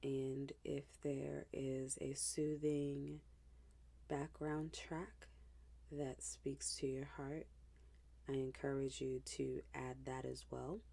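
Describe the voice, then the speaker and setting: Slow, low-voiced woman guiding meditation. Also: flat delivery, creaky. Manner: hushed, gentle, unhurried, with pauses. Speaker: meditation guide.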